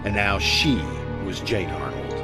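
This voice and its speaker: A man playing a mysterious storyteller, narrating an old-time radio suspense tale in a deliberate, dramatic way. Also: low voice, lively intonation. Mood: suspenseful. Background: music.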